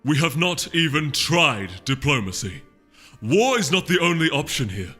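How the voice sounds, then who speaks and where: Man playing a pompous, bright-voiced pony duke. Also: on the high side, sing-song, unhurried, faint music behind, voice pushed up, heavily acted. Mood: measured, persuasive. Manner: pompous, oratorical. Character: haughty male unicorn duke, disdainful political rival.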